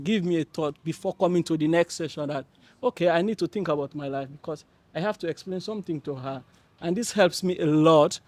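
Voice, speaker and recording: A young man from Mali on a panel, telling his story with many pauses and restarts. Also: on the high side, dry recording. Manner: hesitant, many fillers and restarts, warm laughs. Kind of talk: panel discussion, personal account.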